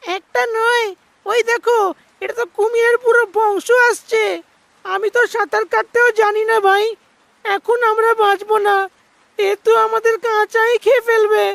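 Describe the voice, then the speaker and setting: A woman's voice: very high voice, flat delivery, normal speed, creaky, a bit bright, no echo, heavily acted. A woman voicing panicky, complaining village farmers in a melodramatic cartoon, exclaiming and whining. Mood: terrified.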